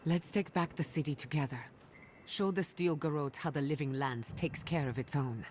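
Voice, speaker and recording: A woman's voice: low voice, normal speed, darkish tone, slight background noise, close to an everyday voice. An actress playing a determined, independent rebel woman in a fantasy video game cutscene. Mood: resolute.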